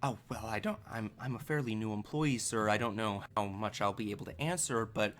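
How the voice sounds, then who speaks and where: A man's voice: on the low side, brisk, fairly bright tone, a little hiss or hum, close to an everyday voice. A man voicing a nervous, polite young female museum employee in a tabletop game, hesitant and apologetic.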